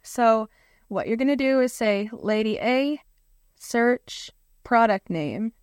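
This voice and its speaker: A woman's voice: on the high side, animated, slow pace, smooth, dry recording, studio quality. A woman, a listener, giving a friendly recorded demo with careful instructions. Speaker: listener contributor.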